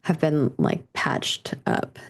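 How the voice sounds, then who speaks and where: A woman playing a tabletop game, casually describing her character in a halting way as she searches for words.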